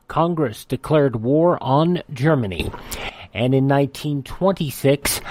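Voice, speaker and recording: A man's voice: fairly high pitch, darkish tone, dry recording. A man hosting a radio show, reading scripted on-this-day history items.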